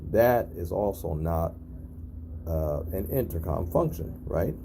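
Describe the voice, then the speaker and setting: Very deep, gravelly man. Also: animated, slow pace, dark tone, a little hiss or hum. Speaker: narrator documenting an intercom test. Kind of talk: casual on-site video demonstration. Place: at a building's entry intercom panel.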